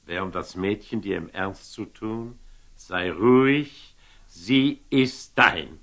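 An actor playing a shrewd, indulgent older sultan in a radio play, speaking classical verse with measured authority. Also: male voice, lowish voice, a bit bright. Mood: calming, commanding.

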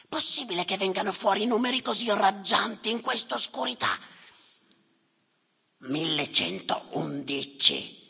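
Gravelly, bitter official in an echoing hall. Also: male voice, sits high, pushed and bright, exaggerated voice. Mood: grumbling, weary muttering. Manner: declamatory, sardonic, with insistent repetitions. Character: bitter, sardonic male official tallying the dead, grumbling and ranting. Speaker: stage actor performing a monologue. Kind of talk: theatrical monologue, declaimed and muttered. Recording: echoey theatre hall, live music behind.

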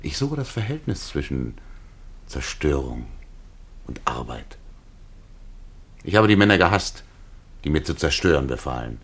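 An actor playing a reflective, embittered burglar and war veteran in a 1950s radio play, soft-spoken, ironic and philosophising. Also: male voice, a bass voice, big pitch swings, unhurried, long pauses, breathy edge, exaggerated voice. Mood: bitter.

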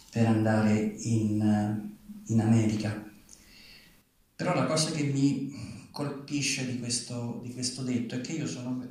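A man speaking and reading at a live literary event, with a halting delivery. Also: medium pitch, darkish tone. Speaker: writer reading at a public event. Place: large reverberant venue, live event. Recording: very echoey hall, distant from microphone.

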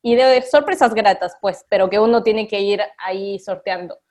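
A woman teacher talks through her experience on a radio interview, thinking aloud with frequent fillers. Her voice sits at an ordinary middle pitch. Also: normal speed, darkish tone. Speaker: literacy teacher.

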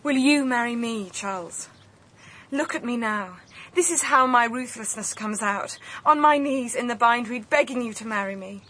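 An actress playing an intense, determined young woman in love in a radio drama, earnest and intimate, sometimes hushed. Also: a little high, moderate pace. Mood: pleading, resolute.

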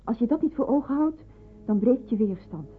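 A woman's voice: fairly high pitch, animated, moderate pace, music in the background, natural voice. An actress playing a stern, practical middle-aged mother of a wartime household, firm and admonishing, in a radio play. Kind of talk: radio drama acting. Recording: tinny, narrow old radio recording.

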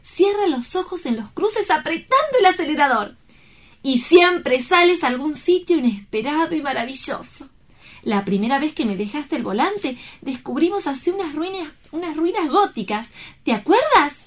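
A woman's voice: sits high, very animated, normal speed. A woman playing an exuberant, romantic young woman in love in an audio drama, effusive, playful and full of exclamations. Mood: gushing, playful. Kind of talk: theatrical audio drama acting.

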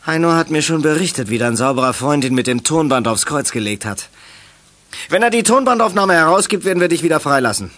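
A sneering, menacing young crook, animated delivery. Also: male voice, fairly high pitch, brisk, no room sound. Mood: threatening. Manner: threatening, sarcastic street-slang delivery. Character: menacing, sneering young male drug dealer and kidnapper. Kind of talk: 1980s youth radio play acting.

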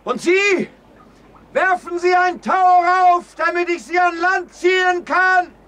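A man's voice: very high voice, slow, pushed and bright, exaggerated voice. An actor playing a courteous, commanding man who lives alone on an island, in a radio play. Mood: urgent, calling out.

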